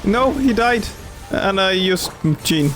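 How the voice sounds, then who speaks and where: A man's voice: high voice, animated, slow pace, fairly bright tone. Man commentating casually over gameplay. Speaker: gamer playing and commenting. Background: game music and effects.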